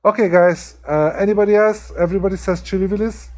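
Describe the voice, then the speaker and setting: A man's voice: high voice, steady tone, fast talker. A man from Hungary with a strong Hungarian accent, chatting casually with viewers as the host of a live stream, full of ums and restarts. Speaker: livestream host.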